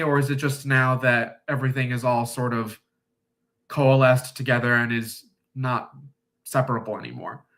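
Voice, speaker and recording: A man from the United States talks his way slowly through a point in a casual discussion, pausing often and restarting his sentences. His voice is bright and a little tense, sitting at an ordinary pitch for a man. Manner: thoughtful, hesitant, many pauses and restarts. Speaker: discussion guest.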